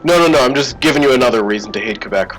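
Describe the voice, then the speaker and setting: A man talks through a live game stream in a casual, wry manner, cursing at mistakes and bantering sarcastically with viewers. His voice sits at an ordinary middle pitch. Soft game music plays faintly underneath. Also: lively intonation, very fast. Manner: joking, sarcastic banter with chat. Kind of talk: casual live-stream commentary while playing.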